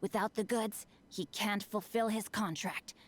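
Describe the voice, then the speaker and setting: A woman voicing a refined, bookish teenage boy swordsman who speaks formally and calmly. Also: mid-range voice, unhurried, slight background noise, close to an everyday voice. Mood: composed. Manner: eloquent, composed, formal.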